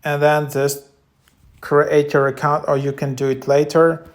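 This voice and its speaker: A man with a slightly high voice presents an app in a very flat, even tone. His delivery is casual and halting, like an unscripted tech vlog walkthrough. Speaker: app review vlogger. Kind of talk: casual app walkthrough, partly reading descriptions aloud.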